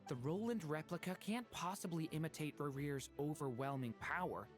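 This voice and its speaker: An actor playing an earnest, boyish apprentice researcher, explaining eagerly in a video game. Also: male voice, on the high side, moderate pace, faint music behind, close to an everyday voice.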